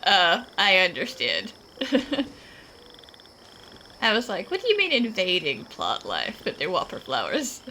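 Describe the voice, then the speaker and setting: A woman's voice: medium pitch, huge pitch swings, measured pace, pauses often, sharp tone, slight background noise. A woman gaming streamer giving casual play-along commentary.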